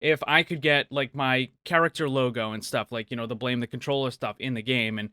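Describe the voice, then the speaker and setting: Male gaming host, upbeat commentary, forceful bright voice, very dry close sound. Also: mid-range voice, fairly even intonation, brisk, smooth, dry recording. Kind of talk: upbeat video commentary answering viewer questions.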